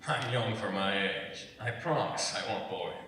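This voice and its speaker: Man playing a low-voiced, secretive middle-aged tour instructor. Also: lively intonation, moderate pace, bright, forceful tone. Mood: hearty, genial. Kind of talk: staged dramatic reading. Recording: echoey room, far from mic, rumbly noise.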